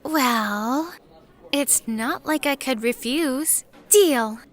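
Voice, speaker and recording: A woman's voice: sits high, drawn-out words. A woman playing an emotional, intense anime schoolgirl in an audio drama. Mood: sweet, teasing.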